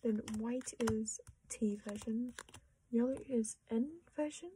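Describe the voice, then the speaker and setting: Soft-spoken Australian woman, half-whispering. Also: on the high side, slow, slight background noise, low-quality recording. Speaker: collecting vlogger. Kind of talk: casual chat while sorting cards.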